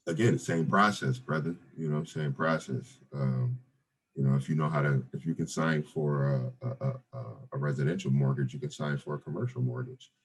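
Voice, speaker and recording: A man around forty with a deep voice, talking casually as a guest on an interview podcast, with many fillers and restarts. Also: moderate pace, husky. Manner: laid-back, many fillers and restarts, says "brother" and "right?". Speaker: real estate investor guest.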